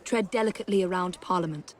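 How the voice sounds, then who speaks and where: An actress playing a stern, confident young Victorian assassin in a video game, with a precise, reproving manner. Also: female voice, lowish voice, quick pace, lightly acted. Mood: reproving, assured. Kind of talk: video game character acting.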